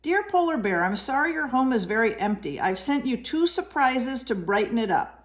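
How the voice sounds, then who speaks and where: A woman playing a caring koala from a picture book. The voice is on the high side with lots of melody, gentle and helpful in manner. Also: sing-song, moderate pace. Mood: caring, reassuring.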